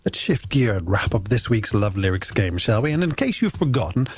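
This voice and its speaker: Playful radio man, very animated pitch. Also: a little fast, slightly rough, no echo. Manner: playful, theatrical, florid delivery. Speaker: radio presenter. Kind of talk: scripted late-night music radio patter. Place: radio studio. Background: music bed under the voice.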